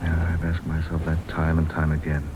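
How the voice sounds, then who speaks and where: Deep-voiced husband in old radio drama. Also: male voice, monotone, moderate pace, a little mellow. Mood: fond, uncertain. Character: male writer husband, bemused, fond, reflective narrator of travels. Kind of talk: old-time radio drama acting and narration. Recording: muffled noisy old radio recording, rain behind.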